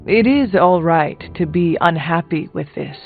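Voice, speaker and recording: Solemn, formal warrior hero. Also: female voice, lowish voice, measured pace. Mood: solemn, puzzled. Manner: formal, without contractions. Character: solemn, old-fashioned warrior hero, earnest and literal-minded. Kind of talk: video game character dialogue. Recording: muffled game capture, faint music.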